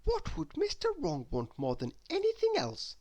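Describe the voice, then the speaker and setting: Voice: high voice, huge pitch swings, moderate pace, dark tone, pitched above the performer's own voice, exaggerated voice. A reader voicing a cheerful, eager young female storybook character in an exclaiming, sing-song way. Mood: pondering.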